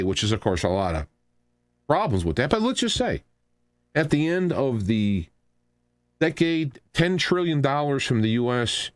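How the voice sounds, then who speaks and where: A middle-aged or older American man hosting a radio talk show, delivering an unscripted political rant in an animated, argumentative, emphatic way. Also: mid-range voice, no room sound.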